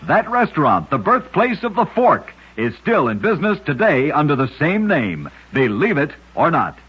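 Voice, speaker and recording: A man narrating short scripted stories for radio in a measured, dramatic storyteller style. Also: on the high side, big pitch swings, background noise. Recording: muffled vintage-style radio recording.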